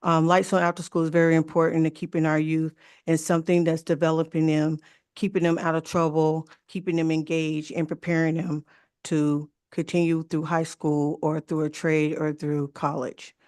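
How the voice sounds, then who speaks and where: A woman, a club director, giving a brisk and eager presentation at a city commission meeting. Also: deep voice, little pitch movement, darkish tone, clean tone, no room sound, clean studio sound.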